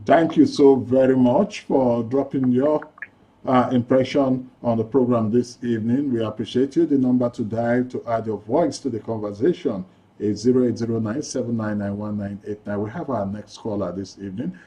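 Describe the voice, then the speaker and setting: Medium-pitched Nigerian radio host, male. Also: steady tone, normal speed, dark tone, dull audio. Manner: polite, brief prompts. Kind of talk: live radio phone-in hosting.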